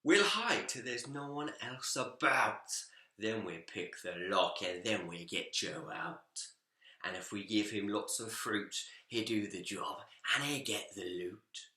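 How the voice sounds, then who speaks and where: A man voicing a scheming, chuckling crook from a children's picture book, plotting in a drawn-out, conspiratorial way. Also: lowish voice, animated, pushed and bright, voice pushed down, full character voice. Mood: sly, plotting. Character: two scheming male crooks plotting a robbery, chuckling and sly. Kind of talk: picture book read aloud. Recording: echoey room.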